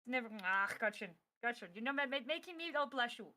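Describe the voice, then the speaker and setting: A woman's voice: a little high, animated, quick pace, bright, forceful tone, a little hiss or hum. Upbeat woman signing off a stream. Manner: upbeat, gushing thanks and sign-off. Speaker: voice actor and guest streamer. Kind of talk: casual livestream chat.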